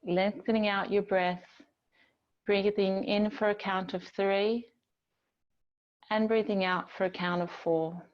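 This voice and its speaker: Woman, yoga instructor, slow unhurried delivery, gentle soothing guidance, long pauses, slightly muffled lo-fi recording. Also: medium pitch, fairly even intonation. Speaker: yoga teacher. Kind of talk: guided yoga and relaxation instruction.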